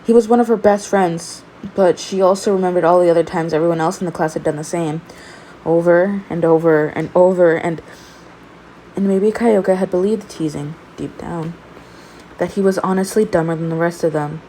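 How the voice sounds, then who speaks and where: A woman narrates fan fiction in a slightly low voice. Her amateur storytelling is expressive, and the recording carries some noise behind her. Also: normal speed, rough recording.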